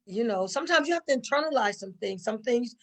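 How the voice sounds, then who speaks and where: Middle-aged woman, higher-pitched voice, conversational, emphatic storyteller who repeats for stress. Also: lively intonation, quick pace. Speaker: stage play cast member. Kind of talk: conversational interview answers.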